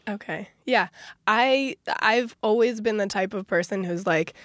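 A woman in her thirties co-hosting a casual chat podcast with a scratchy, hoarse voice, relaxed and rambling with many fillers. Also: mid-range voice, unhurried, no echo, clean studio sound. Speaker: podcast co-host.

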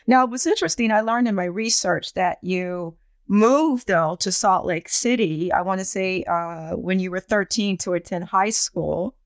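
A woman's voice: medium pitch, moderate pace, hardly any pauses, no echo, clean studio sound. A woman hosting an interview podcast in a conversational style.

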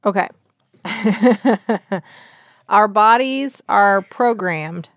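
A woman's voice: medium pitch, very slow, no echo. A woman with a mid-range voice, recorded dry and close with no room sound. She is a doctor answering a child's medical questions. She talks patiently and reassuringly, in plain, simple words. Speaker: doctor and podcast host.

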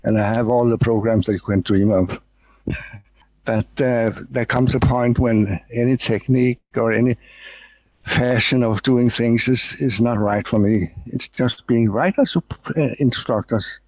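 A man in his eighties from Denmark, asking questions in a relaxed spiritual conversation, speaking gently and haltingly with small chuckles. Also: medium pitch, no room sound, poor audio. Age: 80s. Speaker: audience member asking questions. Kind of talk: casual spiritual Q&A.

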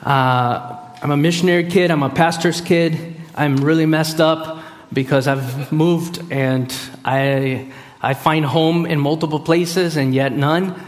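Halting male preacher in a big hall. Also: a little high, fairly even intonation. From Costa Rica. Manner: halting, many fillers and restarts. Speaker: guest preacher. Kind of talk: sermon with personal introduction. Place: large hall. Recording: hall reverb.

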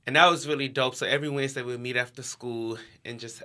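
A man's voice: medium pitch, steady tone, quick pace, sharp tone. A young American man speaking informally as an interview guest, peppering his talk with "like". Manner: informal, heavy use of "like". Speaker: interview guest, education nonprofit co-founder. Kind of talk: casual conversational interview.

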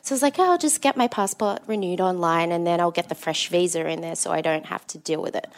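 A woman's voice: on the low side, fast. A woman in her thirties with an Australian accent, chatting as a podcast guest, laughing often and restarting her sentences. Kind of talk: casual interview.

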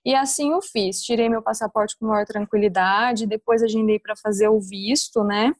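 A woman's voice: mid-range voice, normal speed, no room sound, clean studio sound. A young adult woman with a rural interior São Paulo accent, telling her experiences casually as a podcast guest. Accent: rural interior São Paulo Brazilian (caipira). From interior of São Paulo state, Brazil.

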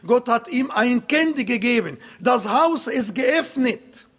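A man preaching a sermon in German with an Arabic accent, non-native grammar and emphatic, slowly built phrases. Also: extremely high pitch, lively intonation. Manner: emphatic, slow, swells louder on key points.

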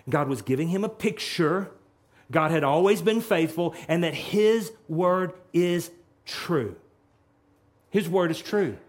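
Middle-aged guy preaching in church, earnest, repeats himself a lot, voice a bit on the high side. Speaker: pastor. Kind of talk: sermon. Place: church sanctuary.

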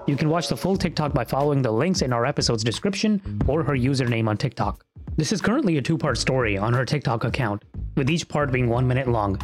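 A man hosting a podcast interview at a middle pitch. He opens with a scripted read, then moves into easygoing questions. He keeps an even, everyday tone. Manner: reads a scripted intro, then asks friendly conversational questions. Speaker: podcast host.

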